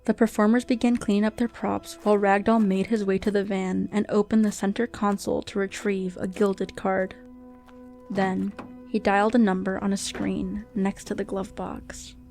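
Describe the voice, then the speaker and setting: A woman's voice: medium pitch, level tone, normal speed, a little mellow, soft background music. A woman reading scripted narration for a radio drama.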